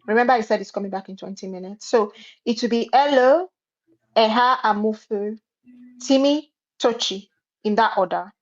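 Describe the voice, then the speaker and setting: A woman moderating an online debate, brisk as she calls on speakers. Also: a little high, dull audio.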